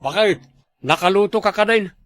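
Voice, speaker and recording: Man acting a high-voiced, cheeky Ilocano husband. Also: normal speed, a bit bright, no room sound. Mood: alarmed. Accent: Ilocano, northern Philippines. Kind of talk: Ilocano comedy drama acting.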